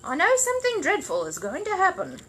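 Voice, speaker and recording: Anxious lady of the house acted by a woman reader; fussing storybook character, bright, animated pitch. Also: brisk, a little hiss or hum, full character voice. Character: fretful, disapproving storybook women of the household.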